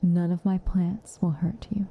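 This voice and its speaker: A woman playing a stern but caring witch in an ASMR roleplay, speaking softly and with measure, dryly commanding and often slipping into a whisper. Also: on the low side, little pitch movement, unhurried, husky, narrow, tinny audio. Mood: protective, soothing. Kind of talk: ASMR roleplay, intimate acted monologue.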